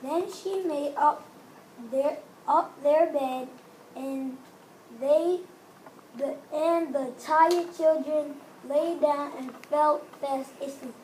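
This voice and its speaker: Slow, high-voiced woman reading a story. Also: fairly even intonation, a little mellow. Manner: halting, repeats and corrects phrases. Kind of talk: fairy-tale narration read aloud. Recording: noisy room recording with hiss.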